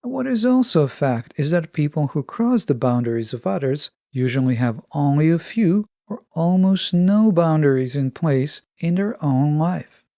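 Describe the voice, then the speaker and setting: Creaky, higher-pitched man hosting a podcast. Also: lively intonation, moderate pace, hardly any pauses, warm tone, no echo, clean studio sound. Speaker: podcast host. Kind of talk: scripted self-help monologue.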